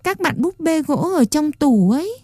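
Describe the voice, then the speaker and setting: A woman voicing an ugly little wooden doll boy who pleads and sobs in a timid, soft voice. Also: big pitch swings, normal speed, dry recording, clean studio sound. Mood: pleading. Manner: pleading, sobbing, then shrill and defiant.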